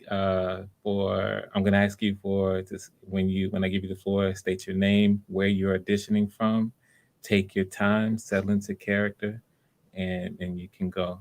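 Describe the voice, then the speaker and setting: Male audition host, low voice, casual and encouraging, repeats himself. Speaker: casting director hosting live voice auditions. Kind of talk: casual live audition chat.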